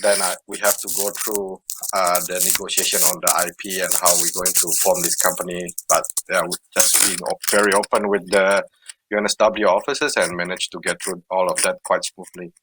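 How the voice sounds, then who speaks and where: A man's voice: deep voice, little pitch movement, a little fast, noisy background. A man from Indonesia, a startup co-founder recounting his work on a panel. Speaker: startup co-founder and former university researcher. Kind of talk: panel talk, telling how his company began.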